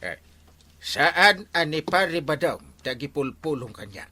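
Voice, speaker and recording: An actor with an Ilocano accent playing an excitable, exasperated village captain with a husky voice in a radio comedy drama. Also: male voice, a little high, measured pace, noisy background. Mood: flustered, exasperated. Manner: exclaiming, comically flustered.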